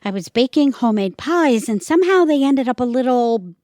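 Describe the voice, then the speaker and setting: A woman's voice: fairly high pitch, expressive, fairly quick, a little mellow, no echo, studio quality, lightly acted. A woman acting as a harried but polite housewife hosting dinner in an audio comedy. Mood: frantic.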